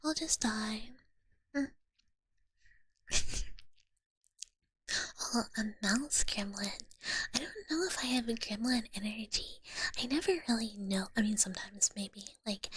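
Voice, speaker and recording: Slow breathy whispering woman. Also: on the high side, rough recording. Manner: soft whisper with frequent giggles. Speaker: streamer reading chat. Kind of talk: whispered ASMR stream chat.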